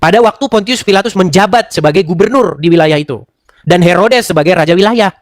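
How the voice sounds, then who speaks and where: Brief: high-pitched man, dry close sound, sarcastic debate host who lectures and needles. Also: brisk, no echo. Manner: mocking, lecturing, rhetorical questions. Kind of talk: heated interfaith debate.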